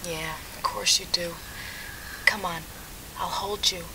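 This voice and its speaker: A woman playing a tender, fragile comforter in an audio drama, speaking softly in a hushed voice. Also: lowish voice, fairly even intonation, takes it slowly, vocal fry, far from the mic, a little hiss or hum, heavily acted, low-quality recording. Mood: tender, sad. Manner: soft, hushed.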